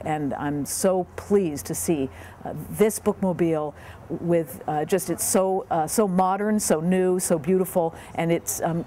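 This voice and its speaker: Woman, 50s, earnest official, mellow lowish voice, faint outdoor noise. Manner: earnest, many fillers. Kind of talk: interview. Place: outdoors beside a bookmobile.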